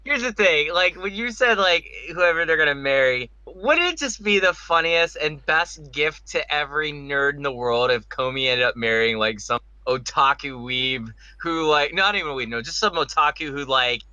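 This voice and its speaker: A man co-hosts a casual group podcast with a very bright, sharp voice that sits a little high. He rambles and jokes in an animated, slangy manner. The audio is dry and slightly dull. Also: normal speed, hardly any pauses, clear, resonant tone, no echo. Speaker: podcast co-host.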